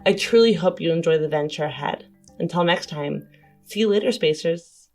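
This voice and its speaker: A woman's voice: deep voice, normal speed, over loud music. A woman speaking casually as a player on a tabletop game podcast. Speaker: podcast cast member, player.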